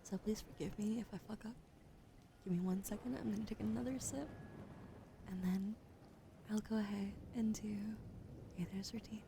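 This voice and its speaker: A woman hosting an ASMR livestream, chatting casually to viewers in a gentle, soft-spoken way that often drops into breathy whispers, close on a sensitive microphone. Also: mid-range voice, moderate pace, long pauses, background noise.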